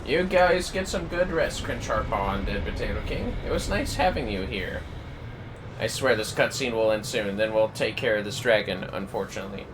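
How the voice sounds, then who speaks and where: A man's voice: fairly low pitch, moderate pace, background noise. A man in his thirties chatting casually and jokingly as he livestreams a video game, with theatrical bits. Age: 30s. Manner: jokey, theatrical, slips into bits.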